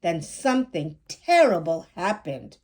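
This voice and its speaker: A woman storyteller reading a children's book aloud in a measured, expressive way. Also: on the low side. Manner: measured, acts out dialogue. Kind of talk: expressive scripted read-aloud narration.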